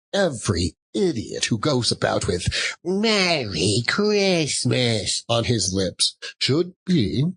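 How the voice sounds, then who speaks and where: Man acting a scornful old miser, swooping pitch. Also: fairly bright tone, husky, exaggerated voice. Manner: emphatic, contemptuous, drawn-out exclamations. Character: bitter, miserly old male businessman, scornful and grumpy.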